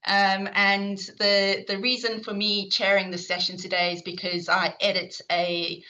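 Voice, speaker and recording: A woman's voice: medium pitch, level tone, unhurried, bright, forceful tone, highs cut off. A middle-aged woman with a South African accent, chairing an online session and introducing the speakers in a chatty way, with lots of fillers and restarts.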